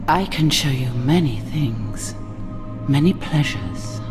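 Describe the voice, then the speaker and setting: Vampire queen for a game, slow n low, seductive, taunting, loud scary music behind. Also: animated, full character voice. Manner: theatrical, slow, taunting, slipping into breathy whispers. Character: seductive, imperious vampire queen, cruel and mocking. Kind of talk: dramatic video game cutscene acting. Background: loud ominous music.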